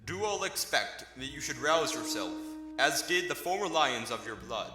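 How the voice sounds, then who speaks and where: A man playing a spirited noble duke advising his king in animated, declamatory Shakespearean verse for an audio drama. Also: mid-range voice, normal speed, close to an everyday voice. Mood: spirited, urging. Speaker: amateur actor in student audio drama. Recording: very echoey, clear music underneath.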